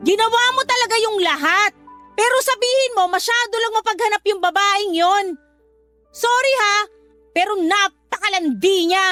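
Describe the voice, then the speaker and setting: An outspoken young sister in an audio drama. Her voice sits very high and bright, and she talks bluntly, then turns tender and reassuring. Music plays softly beneath her. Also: normal speed. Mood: scolding. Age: young adult. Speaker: younger sister and letter sender. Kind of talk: radio drama acting and letter narration. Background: soft music under dialogue, louder music under narration.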